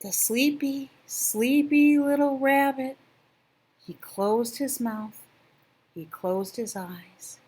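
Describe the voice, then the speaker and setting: An older woman reading a children's picture book expressively, gentle and drawn-out, hushed in places. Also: high voice, slightly rough. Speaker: storyteller.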